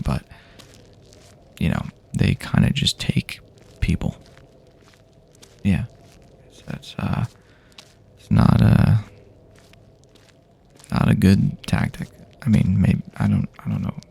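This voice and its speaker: A man doing a soft-spoken, half-whispered ASMR roleplay as a wary apocalypse survivor, hesitant and rambling, often trailing off. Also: sits low, gravelly, slight background noise. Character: weary, wary but reassuring male survivor of an apocalypse.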